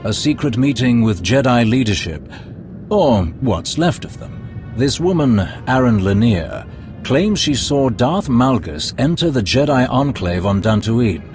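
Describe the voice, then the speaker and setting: An actor playing a smooth, scheming male Sith lord who speaks in a courtly, ingratiating, measured way. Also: medium pitch, animated, natural voice. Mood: suave. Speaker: Imperial schemer. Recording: game cutscene over loud music.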